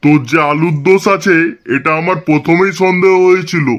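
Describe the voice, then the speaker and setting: A man voicing a gruff, hot-tempered older father-in-law in a comedy cartoon. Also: high-pitched, fairly even intonation, a little fast, muffled recording, lightly acted. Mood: angry, threatening. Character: gruff angry old father-in-law, threatening a beating.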